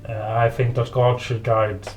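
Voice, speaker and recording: A man's voice: mid-range voice, little pitch movement, normal speed, rough recording. A man bantering casually in character as a tabletop game player, stammering and often questioning.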